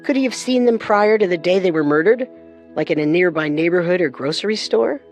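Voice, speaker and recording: A woman co-hosting a scripted true-crime program, speaking in a serious, deliberate way. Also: on the low side, animated, darkish tone, soft background music.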